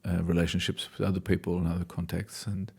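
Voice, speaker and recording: Male dancer-choreographer from Australia, low-pitched, reflective and hesitant delivery. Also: little pitch movement, fast, creaky, darkish tone, slightly rough. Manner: thoughtful, hesitant, restarts sentences. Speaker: dancer and choreographer, class leader. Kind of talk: reflective interview answers.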